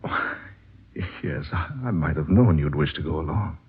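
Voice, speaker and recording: An American actor playing an earnest, dreamy married writer in a 1940s radio drama, speaking in a measured, reflective storytelling way. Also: male voice, a bass voice, breathy edge, heavily acted. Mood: hesitant, tender. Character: earnest dreamy married male writer recounting his quest. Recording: muffled vintage radio recording.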